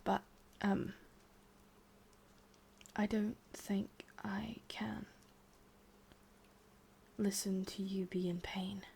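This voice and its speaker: A woman with an English accent voicing a sadistic, lovesick witch in a whispered, intimate ASMR roleplay, murmuring slowly and haltingly. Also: medium pitch, flat delivery, darkish tone, slight background noise. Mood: conflicted, tender menace. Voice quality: breathy, whispery. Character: sadistic, lovesick young witch, wistful and possessive.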